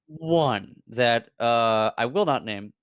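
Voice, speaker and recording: A man chatting casually on a stream, animated and swearing freely, with lots of fillers and laughter. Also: medium pitch, slow pace, clear, resonant tone, no echo, studio quality. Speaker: VTuber guest.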